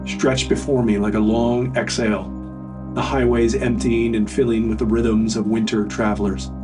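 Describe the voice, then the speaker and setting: A man's voice: lowish voice, level tone, moderate pace, distant mic. A man reading a scripted first-person horror story as a narrator. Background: music.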